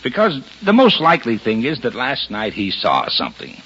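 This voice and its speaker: A soft-hearted American cop from an old radio mystery. The husky voice swings widely as the lieutenant talks in emphatic, exasperated bursts. The sound is dull and aged. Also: male voice, medium pitch, huge pitch swings, normal speed, heavily acted. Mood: urgent, earnest. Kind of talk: 1950s American radio detective drama acting. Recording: muffled vintage radio recording.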